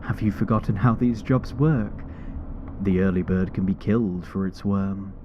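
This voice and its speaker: An actor playing a menacing rival monster hunter in a fantasy audio drama, formal and condescending. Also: male voice, sits low, fairly even intonation, normal speed, natural voice. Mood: threatening. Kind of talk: fantasy audio roleplay acting. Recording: dull sound with background noise.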